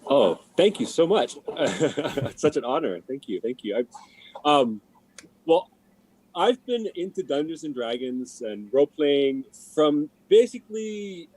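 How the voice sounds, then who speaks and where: A man's voice: a little high, big pitch swings, unhurried, darkish tone, husky, rough recording. A man from Hawaii, a guest artist joining a livestream over a video call, gracious and rambling as he talks. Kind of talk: casual video call chat.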